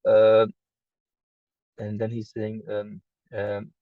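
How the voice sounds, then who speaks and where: A man studying Arabic, reading aloud and translating in a halting, tentative way, often repeating words. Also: lowish voice, completely flat delivery, no room sound, narrow, tinny audio. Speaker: student of Arabic.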